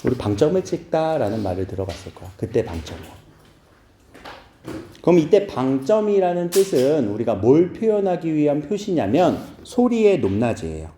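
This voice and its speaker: A man's voice: a little high, sing-song, normal speed, warm tone, echoey room, a little hiss or hum. A man from Seoul teaching a class, asking his students questions and making playful asides. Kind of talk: classroom lecture.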